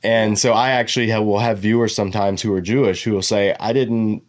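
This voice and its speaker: A man hosts an interview show and asks his guest questions. His voice is fairly low. His tone is casual and enthusiastic. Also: fairly even intonation, brisk. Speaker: podcast host.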